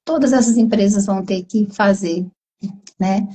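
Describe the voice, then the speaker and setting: Hoarse Brazilian woman explaining at length. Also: medium pitch, moderate pace, dark tone, low-quality recording. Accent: Brazilian Portuguese. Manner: explains at length, tags sentences with questions. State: hoarse from a cold. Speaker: psychologist guest. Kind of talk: explanatory live conversation.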